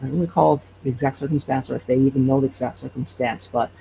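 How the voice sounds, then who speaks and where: Halting man with a gravelly, fry-edged voice. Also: medium pitch, fairly even intonation, dark tone, highs cut off, a little hiss or hum. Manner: halting, hesitant with repeated false starts. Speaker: podcast creator and guest. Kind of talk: casual interview storytelling.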